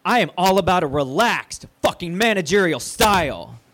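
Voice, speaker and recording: An actor playing a placating, foul-mouthed radio station manager who talks in halting, cajoling corporate speak in an audio drama. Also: male voice, high-pitched, very animated, fairly bright tone, exaggerated voice. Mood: angry. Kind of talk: naturalistic audio drama acting.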